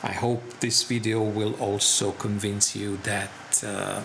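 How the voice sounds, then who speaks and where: A man from Italy, an instructor speaking slowly and carefully, with frequent pauses and fillers. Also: on the low side, level tone, gravelly, noisy background. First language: Italian. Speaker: seminar instructor. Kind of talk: promotional talk to camera.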